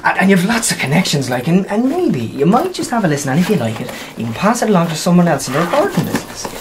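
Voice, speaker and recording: A man's voice: sits high, wildly swooping pitch, fast, noisy background. A man with an Irish accent playing a nervous, pushy pub musician in a radio drama, stammering and eager.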